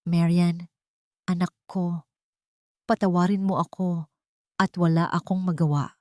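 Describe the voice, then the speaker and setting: A woman's voice: on the low side, steady tone, unhurried, warm tone, no room sound, clean studio sound, lightly acted. A woman voicing a weeping, guilt-stricken stepmother who pleads sorrowfully in an audio drama. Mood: grieving, apologetic. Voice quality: broken, sobbing voice.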